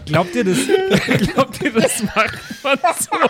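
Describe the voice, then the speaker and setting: A man's voice: very high-pitched, sing-song, slow pace, over a noisy crowd. A man who is a podcast player, chatting casually in a joking promotional bit. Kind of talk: casual comic promo chat.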